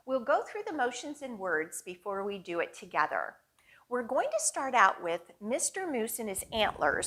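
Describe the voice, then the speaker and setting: Slow-paced, expressive woman reading to children. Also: a little high. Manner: clear, deliberate phrasing aimed at young children. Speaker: children's library storytime host. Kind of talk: read-aloud storytelling and action rhymes for young children.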